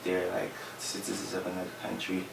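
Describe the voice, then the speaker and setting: A man's voice: low-pitched, completely flat delivery, brisk, vocal fry. A man being interviewed, giving his opinion hesitantly with many fillers. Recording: distant mic, echoey room, background noise.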